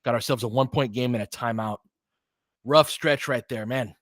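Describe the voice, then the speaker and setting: Male basketball play-by-play host, medium pitch, casual and conversational analysis, very dry sound. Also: normal speed, a bit bright, no room sound. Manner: conversational, thinking aloud. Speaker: host, fan play-by-play commentator.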